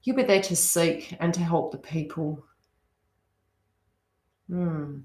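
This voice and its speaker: A woman's voice: lowish voice, fairly even intonation, slow, dark tone. A woman with a slight New Zealand accent chatting casually and ramblingly to live viewers as she gives spiritual advice. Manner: rambling, conversational asides to viewers. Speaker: host, psychic reader chatting with live viewers. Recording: roomy, echoey sound.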